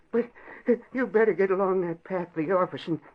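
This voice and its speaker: A man's voice: high voice, moderate pace, natural voice. An actor playing a simple, meek older man, an asylum inmate who tends dogs, talking in a folksy, deferential way in 1940s radio style. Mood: meek, chatty. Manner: folksy, deferential, chuckling rustic talk. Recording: dull old radio recording.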